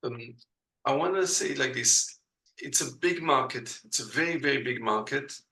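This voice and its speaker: A man from Belgium speaks as an in a casual interview. His voice is gravelly yet bright and forward. He talks hesitantly and fills his pauses with plenty of "uh". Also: medium pitch, low-quality recording. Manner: hesitant, many uh fillers, non-native grammar. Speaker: guest.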